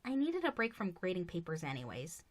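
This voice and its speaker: A woman voicing a kindly, encouraging adult female pony princess in an animated fan series. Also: lowish voice, a little fast. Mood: cheerful, kindly.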